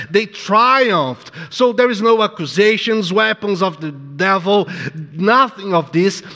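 A man preaching a sermon in accented English, a non-native speaker who acts out voices dramatically. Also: sharp tone. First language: not English. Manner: dramatic, acts out the devil's voice in low tones. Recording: long hall reverb.

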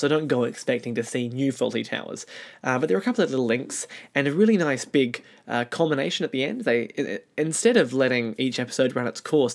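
A young adult man with a mid-pitched voice. He speaks as a podcast host, conversational but presenting to listeners.

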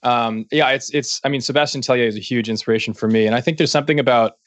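A man's voice: medium pitch, fast talker, dry recording. A man hosting a music interview podcast, chatty and joking, often restarting his sentences. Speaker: podcast host. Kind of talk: casual interview chat.

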